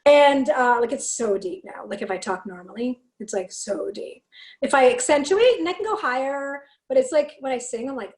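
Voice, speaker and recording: A woman from Canada with a raspy, fairly deep voice, telling stories in a casual chat and acting out the lines she quotes. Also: roomy sound. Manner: animated, acts out quotes, laughs often. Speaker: podcast guest, singer and speaker.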